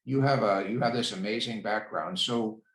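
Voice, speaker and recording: A man's voice: lowish voice, moderate pace, a bit bright. A man hosting a church interview series over a video call, affirming his guest and repeating words of praise. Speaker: host of church discussion series. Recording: echoey room.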